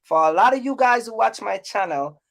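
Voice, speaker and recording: A man's voice: high-pitched, expressive, moderate pace, creaky, fairly bright tone. A man talking informally as a livestream host, using casual slang and often repeating his phrases. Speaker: live stream host.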